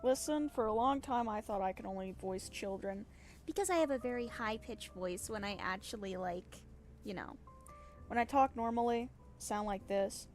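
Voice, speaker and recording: A woman's voice: fairly high pitch, normal speed, a little mellow, faint music behind. An American woman streaming and talking casually about voice acting, rambling and playful, often correcting herself. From United States. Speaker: streamer and voice actor. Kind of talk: casual livestream chat.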